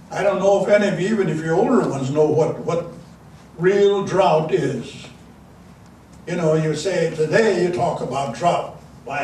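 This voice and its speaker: Older man, native Dakota speaker, slightly high voice, rambling storyteller, far from the mic in a very echoey room. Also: darkish tone, slight background noise. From South Dakota. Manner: rambling, many restarts and fillers. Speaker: elder giving a talk. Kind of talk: storytelling speech to an audience.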